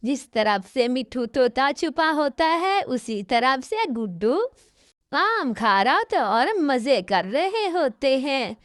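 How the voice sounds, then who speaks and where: A woman narrates a children's cartoon tale in a high, smooth voice. Her intonation is very lively, and the sound is close and dry. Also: takes it slowly, dry recording. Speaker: storyteller.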